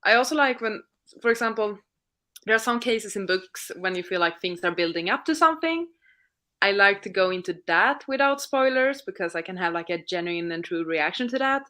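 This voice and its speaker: A woman's voice: medium pitch, expressive, fairly quick, bright, forceful tone. A woman co-hosting a live stream, talking in a casual, conversational way.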